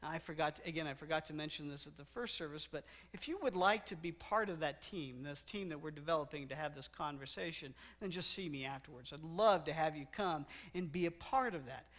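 Middle-aged pastor preaching from the stage. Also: male voice, a little high, a bit bright. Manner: preaching while walking the stage, gesturing broadly; repeats and stresses words, slows down for emphasis. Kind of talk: sermon. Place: church sanctuary.

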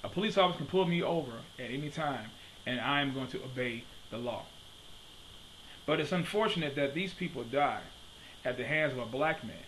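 A man's voice: mid-range voice, fairly quick, fairly bright tone, a little hiss or hum, poor audio. Emphatic man preaching to a webcam. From Detroit, USA. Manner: emphatic, repeats questions. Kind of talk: preaching video commentary. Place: home study with bookshelves. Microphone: webcam at desk.

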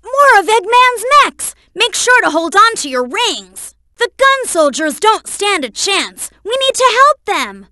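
Little boy fox game sidekick, super high and bright, brainy n eager, earnest helper. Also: big pitch swings, measured pace, hardly any pauses, no echo, clean studio sound, heavily acted. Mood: excited, urgent. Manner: earnest, instructive, explains carefully. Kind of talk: video game voice acting.

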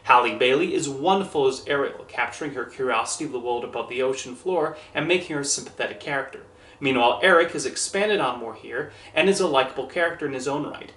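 A man in his thirties reads out a scripted review in an even, earnest manner. His voice sits at an ordinary middle pitch. The small room adds a noticeable echo around him. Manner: measured, earnest, carefully worded delivery. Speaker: movie reviewer. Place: small indoor room with posters and shelves. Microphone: camera at a few feet, standing.

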